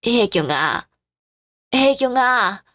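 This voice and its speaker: A woman's voice: fairly high pitch, lively intonation, drawn-out words, fairly bright tone, dry recording, studio quality, full character voice. A woman playing a frail, confused elderly mother-in-law, tender and longing, in a radio-drama style story. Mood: weary, confused.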